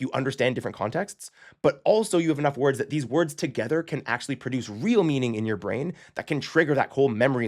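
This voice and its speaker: A man talks through a language-learning lesson in a relaxed, conversational way, often pausing to ask "okay?" and correcting himself mid-sentence. His pitch sits in a middle range, and he moves along quickly. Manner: chatty, frequent "okay?" check-ins, self-correcting. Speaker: language-learning channel host. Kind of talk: casual explanatory lesson to camera.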